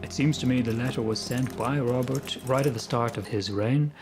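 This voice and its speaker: A man, a historian, explaining things in an animated way in a documentary interview and thinking aloud as he goes. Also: medium pitch, normal speed.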